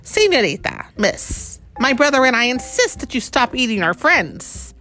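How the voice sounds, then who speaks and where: A woman's voice: fairly high pitch, sing-song, moderate pace, pushed and bright, soft background music, pitched above the performer's own voice, full character voice. Storybook role: woman reader playing a small, plucky male crab, indignant yet formally polite and insistent. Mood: politely insistent. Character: two small male land crabs, indignant, polite, bold.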